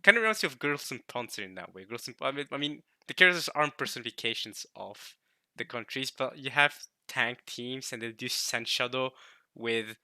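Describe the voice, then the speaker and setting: Brief: Norwegian male reactor, waffling casual talk, sharp bright voice, no room sound. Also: medium pitch, animated, a little fast, dry recording. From Norway. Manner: rambling, self-interrupting, laughing often. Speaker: reaction video host.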